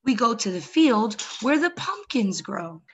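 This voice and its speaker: A woman's voice: on the high side, very animated, measured pace, a bit bright, breathy edge, lo-fi sound. A young adult woman hosting story time, reading a picture book expressively and speaking directly to young children.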